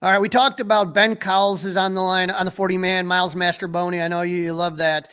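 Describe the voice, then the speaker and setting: A man's voice: sits high, little pitch movement, fast talker, sharp tone, clear, resonant tone. A man co-hosting a sports podcast, talking in a casual, conversational style. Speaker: podcast co-host.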